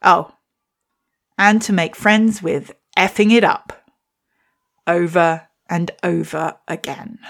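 Slow-spoken wry woman poet. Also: fairly low pitch, creaky, fairly bright tone. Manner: wry, self-deprecating. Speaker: podcast host and poet. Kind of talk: reflective storytelling and poetry reading.

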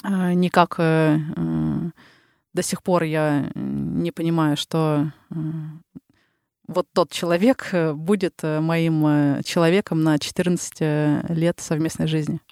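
Woman, 30s, Ural Russian accent; reflective podcast guest, lots of hesitations, smooth resonant voice, dry close sound. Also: deep voice, fairly even intonation, unhurried, darkish tone, no room sound. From Russia, the Urals. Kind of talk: casual interview talk.

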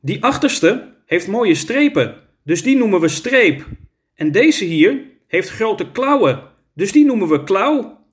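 Man voicing a keen, high-pitched little girl. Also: big pitch swings, takes it slowly, full character voice.

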